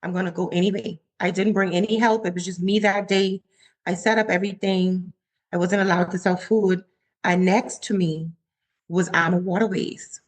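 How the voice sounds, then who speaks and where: A woman's voice: moderate pace, poor audio. A woman in her forties from the Bahamas, a chef telling her story in a casual interview and acting out her own quotes. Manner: animated, acts out her own quotes with rising pitch. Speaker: chef, guest.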